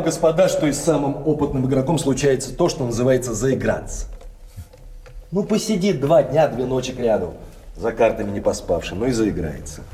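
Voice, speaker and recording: An actor playing a cool-headed, proud card sharp in a theatrical radio play, speaking deliberately and with self-assurance. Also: male voice, medium pitch, distant mic, natural voice. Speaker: stage actor.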